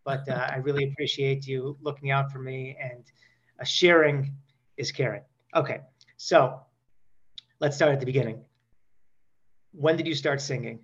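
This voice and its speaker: A man's voice: medium pitch, steady tone, moderate pace, pauses often, fairly bright tone, dull audio. A man in his forties hosting a podcast, bantering in a joking, self-deprecating interview style. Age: 40s. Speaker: podcast host.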